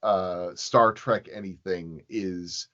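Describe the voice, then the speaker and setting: Slow-paced, halting man on a podcast. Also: sits low, fairly even intonation, bright, forceful tone, dull audio. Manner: halting, circling back to rephrase. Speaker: podcast guest, sound designer. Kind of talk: casual interview chat.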